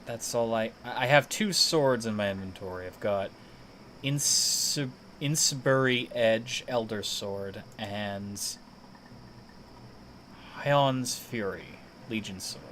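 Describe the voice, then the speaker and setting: Bright-voiced man streaming a game. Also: fairly low pitch, slow pace, slight background noise. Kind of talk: casual gaming chat, thinking aloud.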